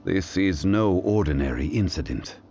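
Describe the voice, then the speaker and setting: A man playing a composed, scholarly gentleman in a video game, speaking formally, calmly and with deliberate phrasing. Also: deep voice, highs cut off. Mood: grave, measured. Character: composed, dignified, scholarly gentleman consultant, grave and measured.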